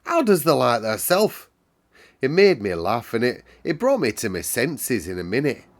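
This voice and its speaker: A man's voice: medium pitch, big pitch swings, normal speed, voice pushed up. A man voicing a cheerful, chatty young Yorkshire housemaid in broad dialect for a children's storybook. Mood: fond, lively. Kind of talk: children's storybook read-aloud.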